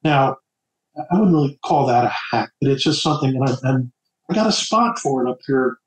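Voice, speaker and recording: A middle-aged man from New Jersey, an author guest on a casual podcast, talking in a halting way with many stammered repeats. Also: medium pitch, animated. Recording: echoey room.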